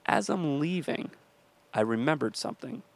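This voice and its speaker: A man's voice: fairly high pitch, very animated, normal speed, vocal fry. A man co-hosting a podcast with his wife, rambling through funny stories in a slightly nasal voice and breaking into silly voices. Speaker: podcast co-host. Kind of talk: casual comedic chat.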